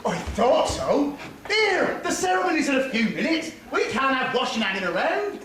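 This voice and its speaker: Woman playing an anxious, high-voiced servant lad. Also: big pitch swings, normal speed, crowd noise. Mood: dutiful. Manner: exclamatory, theatrical. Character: anxious, eager young male servant Gabriel. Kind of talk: amateur stage pantomime acting. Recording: very echoey hall, far mic, noisy.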